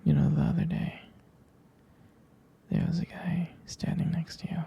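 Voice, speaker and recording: A man playing a jealous, protective, devoted boyfriend in an intimate ASMR roleplay, speaking tenderly and hesitantly in a breathy, hushed voice close to the microphone. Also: on the high side, little pitch movement, moderate pace, lo-fi sound. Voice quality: breathy, husky whisper with gravelly fry.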